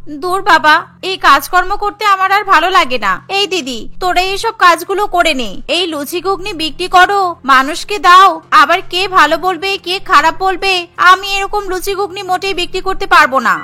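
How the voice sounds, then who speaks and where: A woman's voice: very high voice, moderate pace, sharp tone, full character voice. A woman voicing a dreamy, stubborn girl in a cartoon, complaining and pleading insistently. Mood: whiny, fed up. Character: whiny, dreamy, stubborn youngest sister, a girl. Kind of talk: cartoon story character acting.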